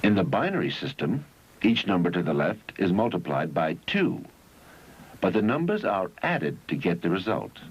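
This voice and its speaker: Low-voiced man narrating old educational film. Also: sing-song, husky. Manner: measured, instructional delivery in short phrases. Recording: dull, noisy 1971 educational film soundtrack.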